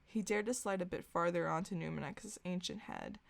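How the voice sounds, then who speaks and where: A woman's voice: fairly low pitch, fairly quick, gravelly. A young woman co-hosting a podcast, reading aloud and chatting in an informal, amused way. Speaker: podcast co-host. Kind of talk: casual reading aloud of fan fiction and chat.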